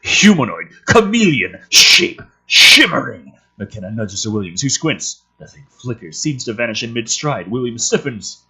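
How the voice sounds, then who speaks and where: A man with a slightly rough voice reading a script aloud dramatically, dropping in sardonic, profane asides. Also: mid-range voice, very animated, normal speed, few pauses, background noise. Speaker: host reading a film script aloud with commentary.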